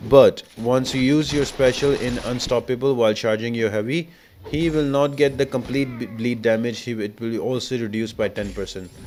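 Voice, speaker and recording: Man explaining a game walkthrough, noisy recording. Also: mid-range voice. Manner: instructional, step-by-step. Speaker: gaming guide host.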